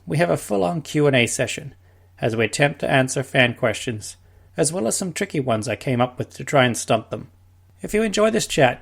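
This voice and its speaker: Podcast host guy reading his promo, kinda gravelly, middle pitch, close mic, smooth upbeat read.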